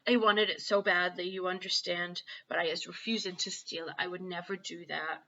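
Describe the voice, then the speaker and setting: A woman voicing a gentle, long-suffering friendly giant with muddled, folksy grammar for a children's storybook. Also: fairly low pitch, fairly even intonation, normal speed, lo-fi sound. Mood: earnest, confiding. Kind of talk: children's storybook read-aloud.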